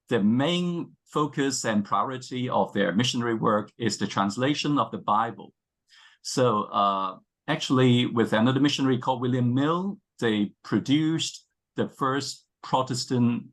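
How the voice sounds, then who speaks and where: Cantonese-accented male scholar, halting delivery. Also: mid-range voice, fairly bright tone. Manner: halting, with frequent hesitations and self-rephrasing. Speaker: scholar and panel speaker. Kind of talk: academic presentation to an online panel.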